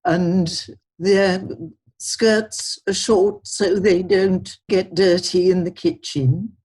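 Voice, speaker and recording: An older woman speaks as an interview guest, measured and thoughtful. She talks slowly at an average pitch. Her voice is a little husky. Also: fairly even intonation, darkish tone. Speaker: guest, culinary historian. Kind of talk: podcast interview.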